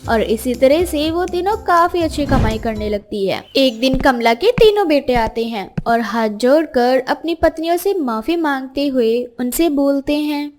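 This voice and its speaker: A woman tells a moral story as a scripted narrator. Her pitch is high, and music plays beneath the voice. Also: lively intonation, unhurried, few pauses, a little mellow.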